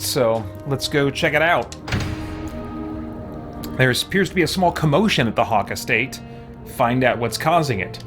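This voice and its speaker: A man hosting a let's play, giving casual commentary in a reflective, hesitant way. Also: on the high side.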